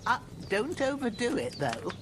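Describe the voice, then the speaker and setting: An actress playing an older village healer, gruff and motherly, talking in folksy rustic speech with a lively, slow delivery. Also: on the high side, noisy background. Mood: bossy. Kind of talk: video game dialogue.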